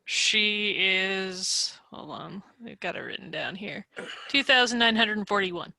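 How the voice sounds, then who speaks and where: A woman's voice: mid-range voice, takes it slowly, creaky, pushed and bright, no room sound. A woman playing a tabletop game over a video call, talking casually and unhurriedly. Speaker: player.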